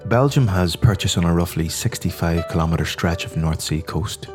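Low-voiced Irish man narrating over guitar. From Ireland. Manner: measured, informative delivery. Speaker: podcast host. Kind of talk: scripted narration. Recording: music playing behind the voice. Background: guitar music.

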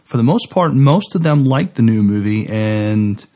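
A man's voice: mid-range voice, expressive, unhurried, mellow. A man chatting casually as the host of a podcast. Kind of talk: casual chat.

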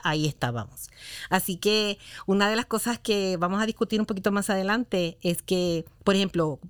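A middle-aged woman telling her faith story as a guest on a church radio show, steady and earnest. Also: medium pitch, clean tone, dry recording, clean studio sound. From Puerto Rico. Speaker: interview guest.

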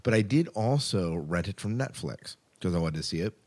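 A man's voice: sits low, lively intonation, moderate pace, a little mellow. A man co-hosting a podcast in casual chat, rambling along informally and with enthusiasm. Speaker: podcast co-host.

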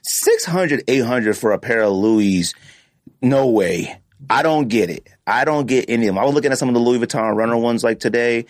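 A man's voice: medium pitch, normal speed, no echo, studio quality. A man hosting a casual sneaker podcast, talking in a slangy, emphatic way and acting out quoted reactions. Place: podcast studio, indoors.

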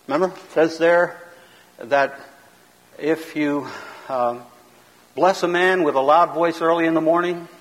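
Loud, high-voiced man preaching in a hall. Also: unhurried, long pauses. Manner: loud, emphatic, rising to a preaching cadence. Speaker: pastor teaching a seminar. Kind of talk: sermon-style teaching lecture. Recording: long hall reverb.

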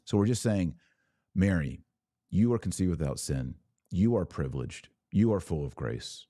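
A man with a deep, mellow voice hosts a religious podcast. He explains things emphatically and didactically, often posing rhetorical questions as he responds to listeners. The sound is dry and close. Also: animated, moderate pace, dry recording. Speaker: podcast host. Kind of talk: religious commentary answering live viewer comments.

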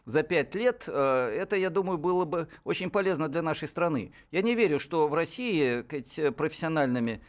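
A radio co-host in his sixties talks in a professorial, lecturing way. He is a man with a fairly high voice. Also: lively intonation, normal speed, few pauses. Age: 60s. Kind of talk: radio talk show discussion.

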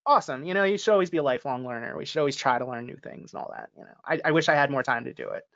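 A man's voice: mid-range voice, rapid-fire, gravelly, fairly bright tone, dull audio. A man with an American accent chatting informally as a podcast panelist, his talk full of casual filler phrases. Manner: informal, peppers talk with "like" and "you know". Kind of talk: casual conversational banter.

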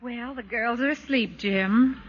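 A woman's voice: fairly high pitch, a little slow, loud music behind the voice, lightly acted. An American woman playing a wry, teasing, exasperated suburban wife in a 1940s radio comedy-drama. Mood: wry, puzzled. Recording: dull vintage 1940s radio recording.

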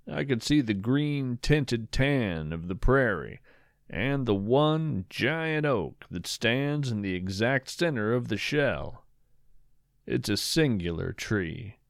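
A man playing a reflective, whimsical traveler, narrating audio fiction in an unhurried, lyrical and gently wry way. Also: medium pitch, no echo, studio quality. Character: reflective, whimsical male wanderer telling of sea travels. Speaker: podcast narrator. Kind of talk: scripted first-person audio fiction narration.

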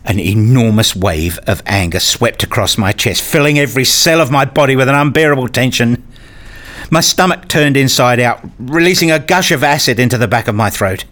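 A man acting as a wounded, self-justifying father in a radio play, speaking in an introspective, deliberate way. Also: mid-range voice, lively intonation, a bit bright, natural voice. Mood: bitter, brooding. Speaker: narrator and lead actor in a radio play. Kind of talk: radio play narration and dialogue.

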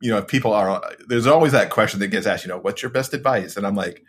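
A man's voice: on the low side, fast talker, slightly rough. A man talking as a guest author in a casual interview, telling stories and acting out his own past reactions.

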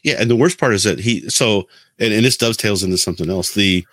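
A man co-hosting a podcast, explaining his points in a halting, conversational way. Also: fairly low pitch, a bit bright, no room sound. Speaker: podcast co-host. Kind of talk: casual podcast discussion.

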